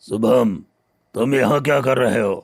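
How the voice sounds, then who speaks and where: A man's voice: medium pitch, unhurried, vocal fry, breathy edge, dry recording. A man playing a callous, scheming elder brother and rich moneylender in a cartoon story, grave and commanding. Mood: cold, stern. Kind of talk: cartoon moral story acting.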